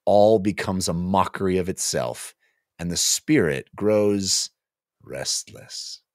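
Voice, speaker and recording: Very deep-voiced middle-aged man. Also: expressive, slow, no echo, clean studio sound. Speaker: podcast co-host. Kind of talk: book discussion with expressive read-aloud passages. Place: small home office, indoors. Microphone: close podcast microphone on a boom arm.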